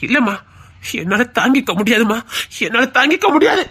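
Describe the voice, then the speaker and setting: A man's voice: very high-pitched, sing-song, brisk, pushed and bright, breathy edge, heavily acted. An actor playing a stern, principled father who firmly scolds his daughter. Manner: firm, scolding.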